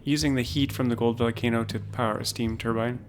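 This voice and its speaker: A man livestreams his game and talks it through in a calm, low-key way. He thinks aloud and often restarts his sentences. Faint music plays behind him. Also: a little fast. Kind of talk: casual gameplay commentary.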